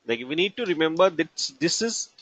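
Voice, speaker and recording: A man with an Indian accent teaching as a trainer, explaining examples haltingly in short, broken phrases. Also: on the high side, animated, a bit bright, dry recording. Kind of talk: instructional lecture with examples. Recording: dull lo-fi narration, dry.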